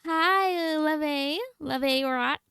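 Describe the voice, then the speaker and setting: A woman's voice: high voice, extremely slow, sharp tone, no echo, clean studio sound. A young adult woman livestreamer chatting casually with her viewers in a slangy, blunt, candid way. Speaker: game livestreamer.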